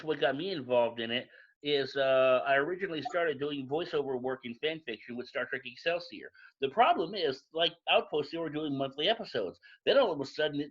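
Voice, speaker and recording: A man with a husky, fairly high voice talks casually on an online panel. His audio is somewhat muffled and lo-fi. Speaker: volunteer voice actor. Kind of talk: casual panel chat on a live stream. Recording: dull, lo-fi online stream audio.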